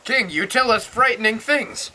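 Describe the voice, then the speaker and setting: A young man playing an earnest counsellor and messenger in an amateur puppet-show tragedy, with deliberate recitation. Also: very high-pitched, bright, forceful tone, slight background noise, full character voice.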